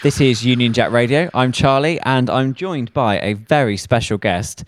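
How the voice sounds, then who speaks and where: A man's voice: medium pitch, normal speed, over loud music. A man hosting a radio show, chatting in a playful, upbeat way. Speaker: radio host. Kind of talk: casual chat. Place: festival radio base.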